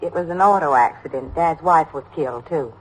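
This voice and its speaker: A middle-aged American housewife, with a husky, slightly rough-edged voice. She is homely and chatty, rambling along and anxious to please, in the manner of an old radio drama. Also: low-pitched, fairly quick, low-quality recording. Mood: matter-of-fact reminiscing.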